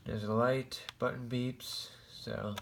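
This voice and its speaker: An American man giving a casual show-and-tell in a halting, rambling, plain-spoken way. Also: mid-range voice, little pitch movement, creaky, a little hiss or hum. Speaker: hobbyist vlogger showing a radio collection.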